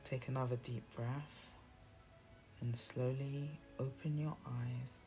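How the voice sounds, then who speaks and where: A soothing, hushed voice guiding a relaxation meditation with deliberate, long pauses between phrases. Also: medium pitch, little pitch movement. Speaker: meditation guide. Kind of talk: guided meditation, scripted narration. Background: soft instrumental music.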